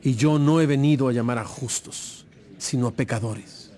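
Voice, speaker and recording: A man playing a calm, firm teacher with measured, emphatic delivery in a dramatized audio Bible. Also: mid-range voice, lightly acted. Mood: instructive, firm.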